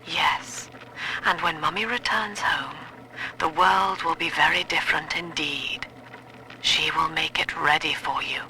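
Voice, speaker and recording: A woman playing a controlled, imperious mother with cold ambitions, in a measured game audio-log drama. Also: deep voice, steady tone, pushed and bright, exaggerated voice. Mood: stern, composed. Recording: faintly noisy audio-log recording.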